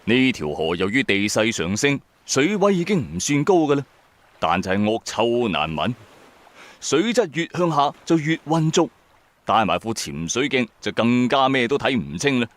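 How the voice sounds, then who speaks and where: A man's voice: medium pitch, sing-song, moderate pace. A man narrating a horror story in the first person, in a colloquial, suspenseful way.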